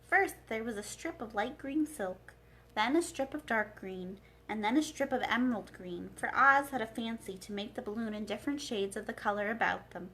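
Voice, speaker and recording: A woman reading a children's storybook aloud as a librarian, in an expressive narrating style. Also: fairly high pitch, moderate pace, a little hiss or hum.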